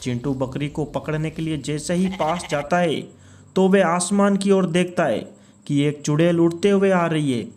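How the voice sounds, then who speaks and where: A man narrating a children's cartoon story in a steady storytelling manner. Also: on the high side, normal speed.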